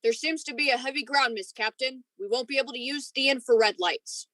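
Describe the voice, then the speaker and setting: An edgy, dutiful spaceship lieutenant played by a woman in a radio play. The voice is fairly high and bright, questioning and uneasy, with a dry sound. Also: fairly quick, dry recording, poor audio. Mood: dutiful, wary. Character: dutiful, edgy young ship's lieutenant, uneasy before danger. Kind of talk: radio drama acting.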